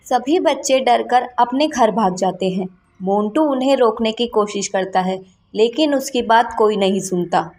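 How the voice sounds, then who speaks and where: A woman's voice: fairly high pitch, measured pace, darkish tone, faint music behind. A woman reading scripted narration for a children's cartoon story.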